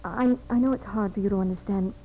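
A gentle, sincere young woman settler of an old radio play, played by a woman. She speaks haltingly at an ordinary female pitch, through a thin, phone-like vintage recording. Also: slight background noise, close to an everyday voice. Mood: tender, sad. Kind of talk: 1950s American radio drama acting. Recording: tinny, narrow-band old radio broadcast.

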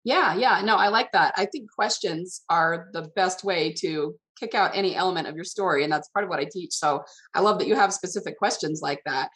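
Female podcast host, moderately low pitch, encouraging and conversational interviewer. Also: fairly quick, hardly any pauses, a bit bright. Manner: encouraging, affirming.

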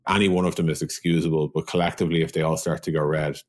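Man, notably low voice, tech executive co-hosting a conversational business podcast, informal and explanatory. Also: level tone, quick pace, a little mellow.

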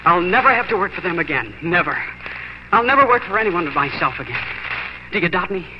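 Proud young frontier husband, high and very animated, exuberant 1940s radio acting. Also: male voice, brisk. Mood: boastful, excited. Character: eager, boastful nineteen-year-old homesteader husband. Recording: muffled, faintly noisy 1940s radio broadcast.

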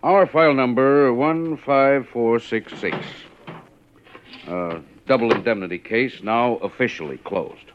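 A man's voice: medium pitch, measured pace, a little hiss or hum, close to an everyday voice. A man playing a cautious, anxious insurance claims agent in a 1950s radio drama, speaking in a careful, officious business manner. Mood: cautious, businesslike. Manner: earnest, careful, hesitant businesslike delivery. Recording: muffled vintage 1950s radio recording.

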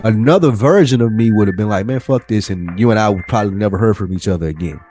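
A man's voice: fairly low pitch, fast talker, a little mellow. A man co-hosting a podcast in casual conversation, with informal, streetwise phrasing. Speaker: podcast co-host. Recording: voice over faint music bed. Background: faint music.

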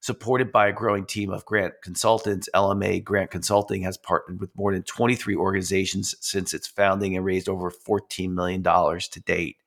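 A man hosting a podcast while he has a head cold, which leaves him nasal. He talks in a halting way, with many fillers and restarts. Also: deep voice, flat delivery, vocal fry, fairly bright tone. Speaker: podcast host. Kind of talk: casual interview, reading sponsor spots.

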